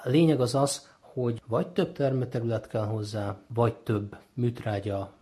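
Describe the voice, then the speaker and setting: A man's voice: lowish voice, darkish tone. A man explaining as an expert interview guest, in a didactic, emphatic manner. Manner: didactic, emphatic, poses questions and answers them. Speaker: ecologist and university lecturer as interview guest.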